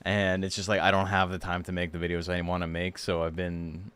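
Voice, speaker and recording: Hoarse deep-voiced man streaming. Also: fairly quick. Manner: rambling, relaxed, full of fillers. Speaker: streamer and tournament host. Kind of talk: casual livestream chat.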